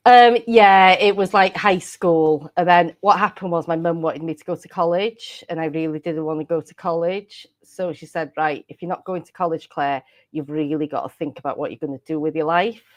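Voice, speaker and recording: Welsh woman, native Welsh speaker, low voice, dry close sound, giggly casual guest. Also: steady tone, normal speed, few pauses, no echo. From Wales. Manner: giggly, rambling with frequent laughs and restarts. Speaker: podcast guest. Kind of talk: casual interview chat.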